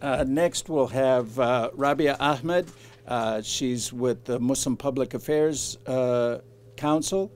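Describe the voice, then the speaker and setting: A man moderating a news conference, introducing a speaker in a halting, hesitant way. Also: mid-range voice, a little mellow, slight background noise.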